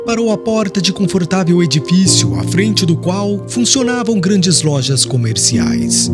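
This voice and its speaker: Expressive higher-voiced male audiobook narrator. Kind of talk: dramatic scripted narration of a religious novel.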